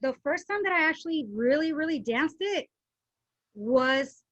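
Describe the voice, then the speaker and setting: High-voiced woman hosting a chat. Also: dry recording, lo-fi sound. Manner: lively, chatty, quick to chime in. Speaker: podcast host. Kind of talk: casual chat.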